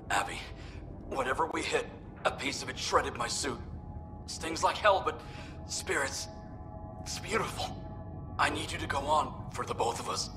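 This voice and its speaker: A man playing a dying alien commander in a video game, tender and breathy as he fades from his wounds. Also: fairly high pitch, a little slow, long pauses, music in the background, lightly acted. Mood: pained, tender.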